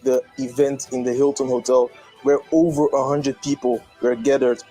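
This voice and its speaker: Mellow, husky young man. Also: mid-range voice, flat delivery, moderate pace, soft background music, low-quality recording. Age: young adult. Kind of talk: testimonial interview.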